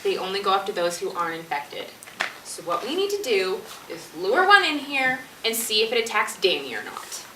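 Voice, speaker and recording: A woman's voice: medium pitch, big pitch swings, moderate pace, lightly acted. A woman playing a practical, exasperated peacemaker among zombie-outbreak survivors in an amateur comic stage play. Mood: reasoning. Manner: reasoning, bossy, exasperated. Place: indoor stage performance, recorded from afar. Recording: distant, roomy stage recording, loud noise.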